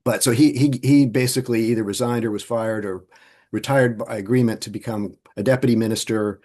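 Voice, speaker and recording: A man interviewing for an oral history project, thoughtful and halting, often restarting his sentences. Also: mid-range voice, steady tone, darkish tone.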